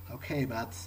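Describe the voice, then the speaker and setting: A man improvising in-character dialogue as a gamer, in a hesitant, low-key way. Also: noisy background.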